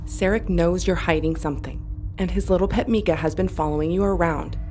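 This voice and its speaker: A woman's voice: fairly low pitch, brisk, a little mellow, slight background noise, natural voice. A woman playing a perceptive, insistent flight instructor in an audio drama, with a firm, probing manner. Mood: suspicious, insistent.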